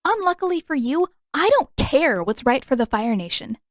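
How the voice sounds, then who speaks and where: A woman's voice: high-pitched, very animated, moderate pace, no room sound, clean studio sound, voice pushed up. A woman voicing a hot-tempered teenage girl who is defiant and sarcastic, in an audio fan fiction story. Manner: sarcastic, indignant.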